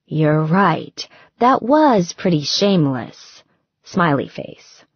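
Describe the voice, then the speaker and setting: A woman voicing a calm, soothing woman with dry humor in an audiobook, in a measured way. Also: lowish voice, breathy edge, dry recording, dull audio, full character voice. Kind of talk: audiobook character dialogue.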